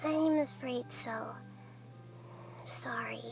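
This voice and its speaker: A woman voicing a small, wise young goddess who speaks softly and explains things in a measured way. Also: high voice. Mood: apologetic. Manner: soft, measured, gently explaining. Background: game music.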